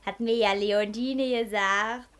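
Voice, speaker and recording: A woman's voice: medium pitch, fairly even intonation, slow, fairly bright tone, slight background noise. A young woman in a German radio play voicing a cheeky, defiant schoolgirl from a working-class family, pert and a little whiny. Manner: pert, whiny back-talk.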